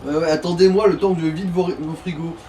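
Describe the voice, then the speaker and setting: A man's voice: fairly high pitch, steady tone, fast talker, mellow. A man doing casual, wry and jokey co-commentary on a video game. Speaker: let's play co-commentator. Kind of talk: casual joking gaming commentary. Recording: distant mic with faint noise.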